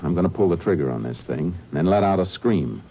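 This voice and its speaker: Low-voiced, terse old-time radio lawman. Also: expressive, a little fast, husky, full character voice. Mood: grim, resolute. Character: steady, shrewd male Texas Ranger on a manhunt. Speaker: radio actor and narrator. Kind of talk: 1950s radio drama acting. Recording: noisy, muffled old-time radio recording.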